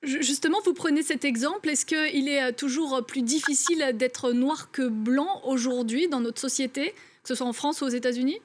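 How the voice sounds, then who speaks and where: A woman interviewer asking prepared questions in a measured, formal way. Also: sits high.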